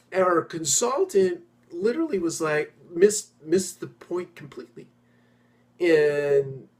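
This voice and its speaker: A middle-aged man talking informally to his industry peers as an executive host, stressing points by repeating phrases and pausing mid-sentence. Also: fairly high pitch, a little mellow. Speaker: property management executive and host.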